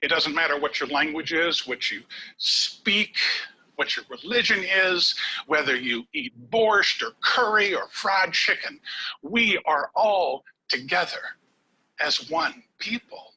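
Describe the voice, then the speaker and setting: A man delivering a prepared speech from home, slightly higher than most men and with a bright, forceful edge. His voice has a husky grain, and he leans on long pauses and drops key words to a near whisper for effect. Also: lively intonation, low-quality recording. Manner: dramatic pauses and dropped whispers for emphasis. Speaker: contest speaker.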